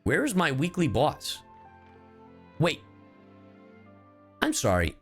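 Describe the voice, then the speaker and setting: Very animated male game streamer. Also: a little high, slow pace, slightly rough, soft background music. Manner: animated, exasperated rambling, swears freely. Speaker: livestreamer. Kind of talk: casual game stream commentary.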